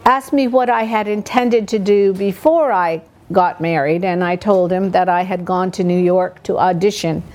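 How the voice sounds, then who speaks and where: An older woman, a poet whose first language is Polish, telling her life story in a thoughtful, halting way with pauses. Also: lowish voice, a little mellow. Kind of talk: reflective personal storytelling.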